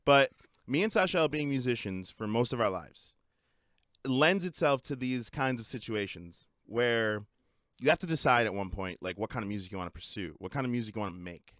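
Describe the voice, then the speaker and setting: Young male podcast co-host, playful and animated, laughs a lot, dry close sound. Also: medium pitch, moderate pace, dry recording. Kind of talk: casual podcast chat.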